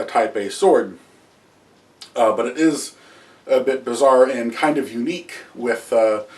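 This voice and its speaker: A man in his thirties giving an unscripted history lecture to camera at home, pausing often with "uh" and restarting phrases. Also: mid-range voice, a little mellow, distant mic. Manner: halting, many "uh" fillers and restarts, gestures with both hands. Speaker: history video host. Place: small room at home with wall hangings and shields. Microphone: camera a few feet away.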